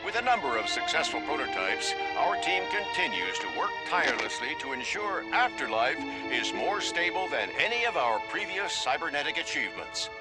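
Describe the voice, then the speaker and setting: Animated corporate announcer over loud music. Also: male voice, fairly high pitch, moderate pace, hardly any pauses, poor audio. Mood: boastful. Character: confident male corporate promo announcer, smoothly boastful. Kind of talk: scripted commercial narration. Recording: loud music bed under voice.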